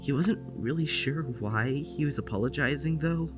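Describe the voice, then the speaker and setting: A man's voice: on the high side, moderate pace, music in the background, close to an everyday voice. A young man acting a shy, defensive nonbinary patient in an audio drama, guarded and hesitant. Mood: reflective, awkward.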